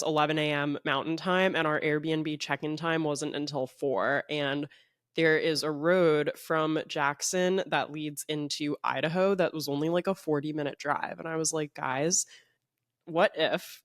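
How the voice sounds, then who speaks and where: A man's voice: a little high, fairly bright tone, no room sound, clean studio sound. A young man hosting a podcast in casual solo chat. He sounds a little congested and talks in a rambling, chatty way, often saying "like". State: slightly congested. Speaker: podcast host.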